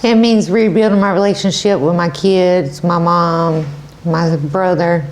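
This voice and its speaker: A woman's voice: on the low side, fairly even intonation, unhurried, a little mellow, low-quality recording. A woman in her forties from South Carolina, answering a host politely and briefly. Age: 40s. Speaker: guest. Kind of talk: casual interview answers.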